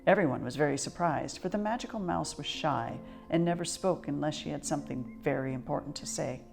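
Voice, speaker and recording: A woman reading a children's picture book aloud as a storyteller, with expressive, measured delivery. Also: sits low, gravelly, faint music behind.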